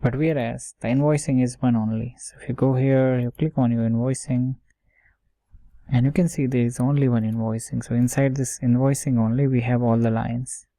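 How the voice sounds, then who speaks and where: A man gives an informal software walkthrough in a mellow voice with little movement in pitch. He goes step by step, haltingly, checking himself as he works. The sound is dry and close. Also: steady tone, dry recording. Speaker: software tutorial instructor. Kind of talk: informal screen-share coding tutorial, thinking aloud.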